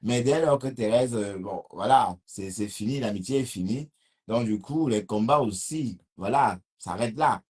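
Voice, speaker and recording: A man with a Cameroonian French accent chats casually on a live stream, replying to viewer comments and restarting his sentences as he goes. His voice sits on the low side. It has a husky, slightly rough edge. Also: a little mellow. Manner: reads viewer comments and answers them, rambling with restarts.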